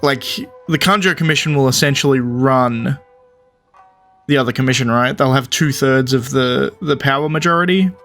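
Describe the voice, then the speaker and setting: A man giving casual game commentary, reasoning through the story out loud as he plays. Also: mid-range voice, normal speed. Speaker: gamer commenting on a playthrough. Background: game music.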